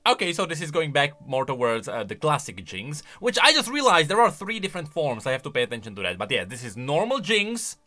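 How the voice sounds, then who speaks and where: A man giving casual, animated reaction commentary on game voice lines, with emphatic bursts and quieter asides. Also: on the high side, fairly quick, few pauses.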